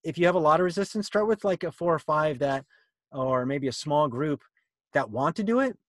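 A man's voice: on the high side, animated, brisk, dry recording. A middle-aged man presenting informally on a video call in an encouraging, conversational way. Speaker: workshop presenter. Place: indoor room on a video call. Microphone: computer mic on a video call.

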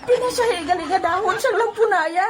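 A woman playing an excitable, teasing companion in a comedic radio drama, with a Western Visayan Philippine accent and an exclamatory, giggly, scolding manner. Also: extremely high pitch, normal speed. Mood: excited, amused. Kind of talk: comedic radio drama acting in colloquial dialect. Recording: noisy home-made audio drama with clicks.

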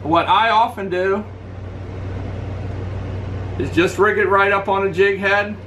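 A middle-aged man talks to a webcam in his living room in a relaxed, practical way. His voice sits fairly high for a man. A faint air conditioner hum runs underneath. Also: slow, low-quality recording. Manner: relaxed, practical, shows lures to camera. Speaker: fishing channel host. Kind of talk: casual gear review. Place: indoors, living room at home.

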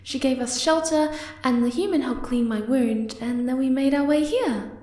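A woman as a high-pitched, timid moth girl. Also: moderate pace, close to an everyday voice. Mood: flustered. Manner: hesitant, timid, gentle. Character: shy, sweet, clumsy young moth girl. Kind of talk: ASMR roleplay acting. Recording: echoey, distant from the mic.

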